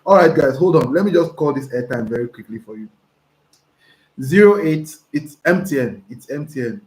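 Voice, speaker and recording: A young Nigerian man with a slightly hoarse voice, coaching viewers live and reading their messages aloud, excitable and prone to restarts. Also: medium pitch, moderate pace. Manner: excitable, repeats himself, stumbling restarts. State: hoarse from talking. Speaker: online business coach. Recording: roomy echo, faint background noise.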